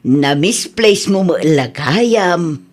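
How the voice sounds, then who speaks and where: A woman's voice: fairly low pitch, animated, extremely slow, heavily acted. A woman with an Ilocano Filipino accent acting a frank older village auntie in a radio comedy drama. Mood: reproachful.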